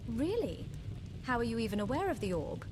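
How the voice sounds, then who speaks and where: A woman's voice: fairly high pitch, big pitch swings, a little fast, noisy background. A woman playing a guarded, emotionally wounded adventuring daughter in a fantasy game, formal and earnest in manner. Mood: guarded, questioning.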